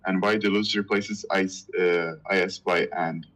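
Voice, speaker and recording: A man whose first language is Turkish, reading a dense philosophy text aloud to a reading group, halting and stumbling over hard words. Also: low voice, steady tone, fairly bright tone.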